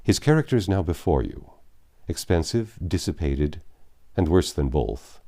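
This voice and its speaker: A deep, mellow voice for a grave middle-aged English gentleman in a storybook, acted out by a male narrator. He speaks formally and earnestly, halting at times, with sorrow held in check and a lively rise and fall. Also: gravelly, full character voice. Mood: bitter, indignant. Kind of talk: dramatic audiobook reading of classic novel.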